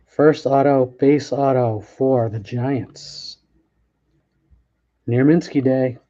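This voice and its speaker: A man chats offhandedly while opening packs, thinking out loud with quick restarts. His voice is mellow and mid-pitched. The recording sounds dull and lo-fi, like a home setup. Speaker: card break host. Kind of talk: casual live commentary while opening packs.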